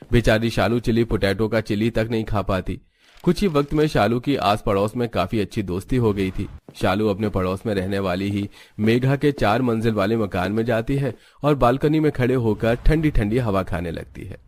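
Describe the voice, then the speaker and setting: A man reads a scripted cartoon story as its narrator. His voice sits on the low side, and he speaks as a storyteller. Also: darkish tone.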